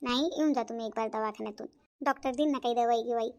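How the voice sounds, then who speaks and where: A woman acts out a proud village mother-in-law from a family cartoon. Her voice is high and her talk rattles along quickly, chatty and emphatic. She has a folksy Varhadi accent and a bossy, self-satisfied manner. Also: a little mellow, dull audio, close to an everyday voice. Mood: caring, insistent. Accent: Varhadi Marathi of Vidarbha, India. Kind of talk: Marathi family cartoon story acting.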